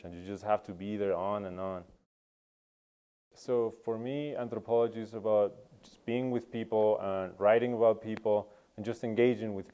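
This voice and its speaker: A man's voice: fairly low pitch, mellow, clean tone. A young man from Peru, a student talking through his research in an interview, thoughtful and full of ums and uhs. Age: young adult. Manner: reflective, many ums and uhs. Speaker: anthropology student.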